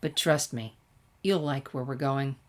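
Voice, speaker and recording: A cool, guarded teenage girl, as a woman narrator plays her, with a low voice. She talks casually and wryly, understated but kind, in a storybook reading. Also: a little slow. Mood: reassuring.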